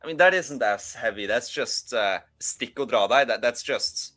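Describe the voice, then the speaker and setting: A man's voice: mid-range voice, lively intonation, normal speed, fairly bright tone, faint music behind. A man from Norway with a Norwegian accent, rambling through a casual panel chat with many fillers and restarts.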